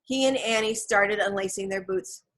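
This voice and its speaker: A woman narrates a children's chapter book at a medium pitch. Her reading is scripted and expressive, in the manner of an audiobook. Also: normal speed. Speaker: audiobook reader.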